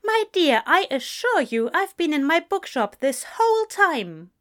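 A woman's voice: high voice, sing-song, moderate pace, pitched above the performer's own voice. A woman voicing a prim, fussy male angel in an audio story, with a proper, fluttery manner. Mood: feigned innocence. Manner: fluttery, proper, hesitant when flustered.

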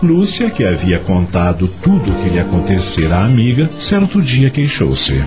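A man's voice: low voice, wildly swooping pitch, normal speed, over music. A man with a Brazilian accent narrating a scripted radio drama.

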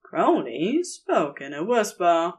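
A woman's voice: fairly low pitch, sing-song, slow pace, breathy edge. A woman narrating romantic fiction from a script as a storyteller.

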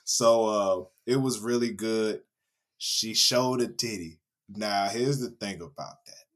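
A hoarse man with a raspy voice, co-hosting a podcast and telling stories in casual chat. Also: slow pace. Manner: animated storytelling, laughing, mimicking with big pitch jumps. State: hoarse after a concert. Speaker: podcast co-host.

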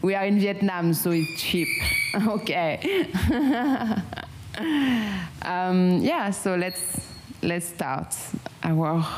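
Low-voiced French woman, slow and halting. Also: noisy background. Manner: halting, searching for English words, frequent fillers. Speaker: meditation channel host. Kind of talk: casual guided meditation chat.

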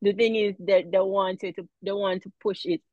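Lively Jamaican woman, lo-fi sound. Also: medium pitch, normal speed, dry recording. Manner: animated, laughs often, repeats phrases. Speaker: guest, mom influencer. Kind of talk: casual interview chat.

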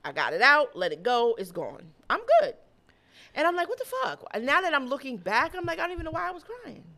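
Blunt, animated woman podcast co-host. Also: high-pitched, fairly quick. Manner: blunt, profane and animated storytelling. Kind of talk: casual chat.

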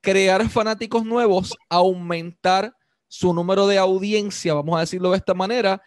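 High-voiced male interview host. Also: fairly even intonation, normal speed, no echo, studio quality. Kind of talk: interview questions.

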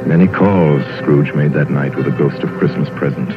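A man narrating a scripted vintage radio drama with measured, solemn phrasing. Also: extremely low pitch. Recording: muffled vintage radio broadcast, music behind.

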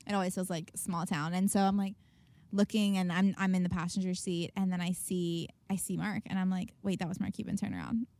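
A woman's voice: fairly low pitch, brisk, dry recording. Woman founder, chatty and laughing, dry recording. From Nashville. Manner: laughs often, storytelling, animated. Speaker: startup founder, guest. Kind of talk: casual interview chat.

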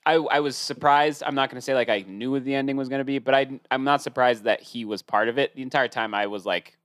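A man's voice: mid-range voice, steady tone, fast talker, a bit bright, no room sound, studio quality. A man co-hosting a casual podcast, rambling through his opinions with many restarts. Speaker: podcast co-host. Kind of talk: casual chat.

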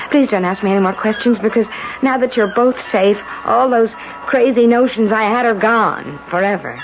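A woman's voice: mid-range voice, close to an everyday voice. An actress playing an earnest, troubled wife and mother in a dramatic 1970s radio play. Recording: muffled, noisy old radio recording.

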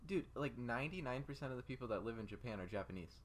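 A man's voice: mid-range voice, expressive, racing. A young man chatting casually as a podcast co-host, with a playful, teasing way of talking. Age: young adult. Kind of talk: casual banter.

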